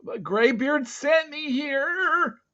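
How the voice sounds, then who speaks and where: A frightened storybook boy, acted out by a man, pitched far above a grown man's talk. He pleads in a flustered, insistent way. The recording is dull and lo-fi. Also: lively intonation, drawn-out words, sharp tone, full character voice. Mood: nervous insisting. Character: frightened teenage boy painter pleading his innocence. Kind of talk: storybook read-aloud character voice.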